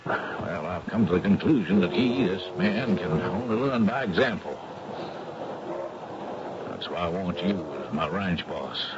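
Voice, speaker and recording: An actor playing a forceful western rancher colonel in a 1970s radio drama, insistent and emphatic. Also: male voice, sits low, animated, measured pace. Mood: firm. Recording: muffled, noisy 1970s radio recording.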